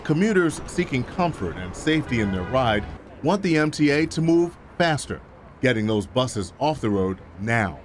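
Measured male news reporter. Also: medium pitch, very animated, a little hiss or hum. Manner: measured, emphatic reporter delivery. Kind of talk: scripted news narration.

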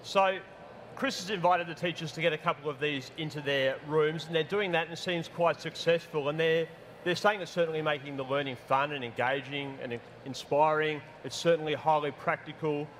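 A man's voice: fairly high pitch, fairly even intonation, fairly quick, fairly bright tone, a little hiss or hum. Man moderating a conference panel. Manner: poses long scenario questions. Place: conference stage, indoors.

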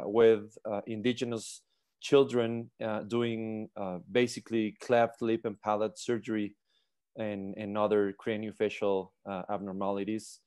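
Man on video call, smooth resonant voice, lowish, polite, pausing with fillers. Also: a little mellow. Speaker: plastic surgeon panelist. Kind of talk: panel introduction.

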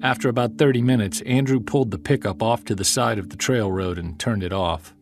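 A man playing a middle-aged Bigfoot investigator in an audio drama, reflective and measured. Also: on the low side, lightly acted. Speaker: lead character, narrator. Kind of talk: audio drama acting, scripted first-person narration.

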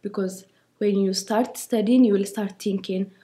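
A sixteen-year-old young woman with an Ethiopian accent, giving a personal account in halting, simple English. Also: medium pitch, steady tone, dark tone. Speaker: school student.

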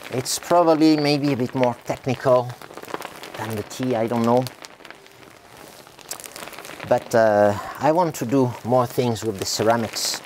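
A man's voice: medium pitch, a little hiss or hum, low-quality recording. A middle-aged man, a tea farmer, rambling casually through a vlog in his tea factory, full of filler sounds and restarts. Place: tea factory, indoors by a hot wok.

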